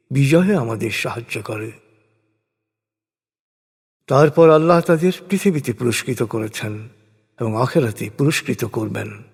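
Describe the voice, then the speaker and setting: Reverberant, husky man reciting scripture solemnly. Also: medium pitch. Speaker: narrator of scripture translation. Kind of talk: solemn scripted religious recitation of translated verses. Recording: long hall-like reverb.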